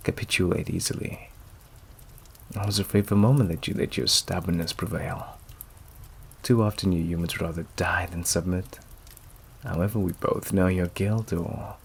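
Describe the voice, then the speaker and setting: Man voicing a very deep, gravelly, seductive vampire. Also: exaggerated voice. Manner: silky, teasing, theatrically polite, often dropping to whispers. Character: suave possessive male vampire, menacing, seductive, courteous. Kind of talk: intimate ASMR roleplay acting. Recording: clear background noise and ambience. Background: rain and fireplace ambience.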